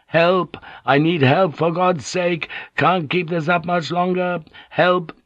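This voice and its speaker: Man acting a frantic high-pitched madman shouting. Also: fairly even intonation, slow pace, dry recording, dull audio. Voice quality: strained, nearly strangled shout. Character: trapped foreign sailor feigning raving madness, frantic.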